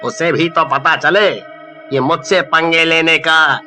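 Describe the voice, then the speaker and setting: Guy doing a mean young village bully, high forceful voice, taunting, slowish. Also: steady tone, soft background music, exaggerated voice. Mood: vengeful. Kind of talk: Hindi animated horror story acting.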